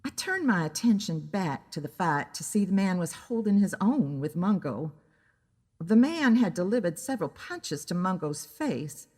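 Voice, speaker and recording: A woman giving a deliberate, dramatic first-person narration of a scripted story. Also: lowish voice, big pitch swings, darkish tone, long reverb tail.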